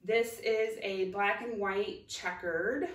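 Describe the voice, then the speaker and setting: A woman's voice: mid-range voice, fairly even intonation, a little hiss or hum. A woman in her forties, chatting casually as she shows thrift finds one by one, with many ums along the way. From Ohio. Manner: chatty, unhurried, many ums, holding up items. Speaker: thrift reseller vlogger. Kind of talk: casual haul show-and-tell. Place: home kitchen, indoors. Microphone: camera at a distance. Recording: roomy kitchen sound, voice from a distance.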